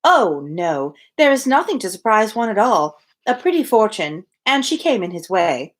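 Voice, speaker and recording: Period novel heroine, read by a woman: young gentlewoman, measured, polite, guarded, expressive pitch. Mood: reassuring.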